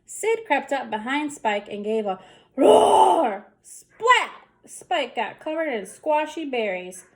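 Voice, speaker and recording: A woman reading a children's story aloud, with deliberate, theatrical emphasis on the action words. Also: high voice, big pitch swings. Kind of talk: expressive bedtime story read-aloud.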